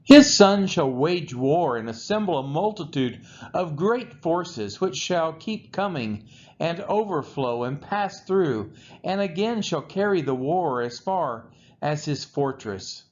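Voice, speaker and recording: A man reading scripture aloud in a solemn style, with measured, deliberate phrasing. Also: a little high, expressive, darkish tone. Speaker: scripture reader. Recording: dull lo-fi recording with faint noise.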